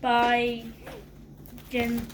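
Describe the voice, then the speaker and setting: A woman's voice: a little high, completely flat delivery, drawn-out words, recorded from a distance, a little hiss or hum. A woman reading aloud hesitantly at a family table. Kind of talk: casual family chat.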